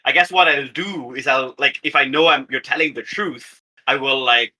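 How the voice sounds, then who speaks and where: A man's voice: medium pitch, moderate pace, sharp tone, slightly rough, rough recording. A man chatting casually as a player in a tabletop game, tentatively thinking aloud.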